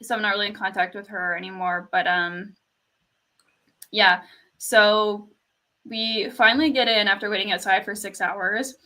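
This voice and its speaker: A young woman from Canada with a fairly quiet speaking voice, telling a story in casual chat with lots of "like" and laughter. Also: mid-range voice, fairly even intonation, normal speed. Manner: storytelling, laughs often, says "like" a lot.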